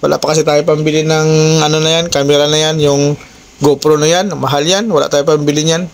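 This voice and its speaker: Chatty man with a higher-pitched voice. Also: flat delivery, fairly quick, a little hiss or hum. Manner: chatty, repeats tag questions to listeners. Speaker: motovlogger. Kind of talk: casual vlog chat to viewers. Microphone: old cellphone, no intercom.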